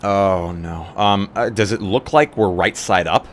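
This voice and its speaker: A man's voice: sits low, a little slow, a little hiss or hum. A man taking part in a tabletop roleplay as a player, casually thinking aloud and reacting in short bursts. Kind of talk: casual improvised roleplay chat.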